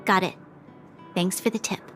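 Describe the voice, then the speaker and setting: A woman voicing a poised, dignified young female commander in formal, measured game dialogue. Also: fairly bright tone, faint music behind, close to an everyday voice. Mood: composed.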